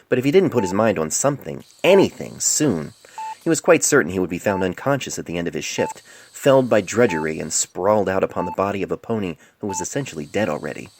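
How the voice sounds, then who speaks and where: A man reading his own scripted fiction aloud in a measured, literary storybook style, recorded on a cell phone microphone. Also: sits low, gravelly. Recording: noisy amateur cellphone recording.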